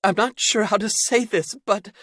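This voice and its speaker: A man voicing a breathless woman whose voice is scratchy from crying as she gently but firmly presses urgent news. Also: mid-range voice, moderate pace, dry recording, clean studio sound, pitched above the performer's own voice, close to an everyday voice. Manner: gently insistent.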